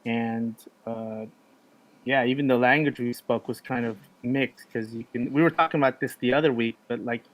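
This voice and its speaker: A man's voice: mid-range voice, steady tone, moderate pace, muffled recording. A man co-hosting a casual podcast chat, talking loosely with many fillers and restarts. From United States. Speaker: podcast co-host.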